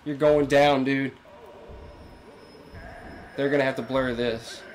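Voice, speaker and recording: A man's voice: medium pitch, steady tone, slow pace, a little hiss or hum. A young man with a cold-stuffed nasal voice, joking casually with a friend while playing a fighting game at home. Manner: playful, sings silly lines, yelps during fights. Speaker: gamer. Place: indoor room, on webcam.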